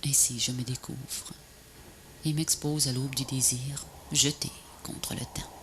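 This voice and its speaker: A woman recites poetry as a voice-over in a solemn, measured, breathy and often half-whispered delivery. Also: extremely low pitch, flat delivery, fairly bright tone. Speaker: poet narrator of a video poem. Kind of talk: poetic recitation, scripted voice-over. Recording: noisy VHS transfer, ambient noise.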